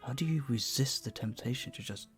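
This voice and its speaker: A man playing an earnest, courteous, flirtatious vampire bartender in an intimate audio roleplay, speaking softly, politely and deliberately. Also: mid-range voice, faint music behind, natural voice. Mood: troubled, yearning.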